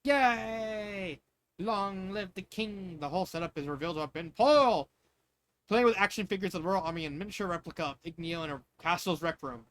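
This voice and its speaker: A man reading fan fiction aloud as an amateur narrator, expressive and sometimes stumbling over his words. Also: on the high side, fast, slight background noise. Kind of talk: amateur storybook narration with asides.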